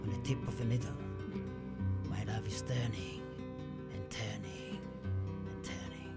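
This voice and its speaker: A man's voice: on the low side, a little slow, loud music behind the voice, close to an everyday voice. An actor playing a passionate, wistful Spanish poet looking back on his life and death in a theatrical, declamatory radio play monologue. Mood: solemn, tender. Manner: dramatic, declamatory, lingering over poetic phrases.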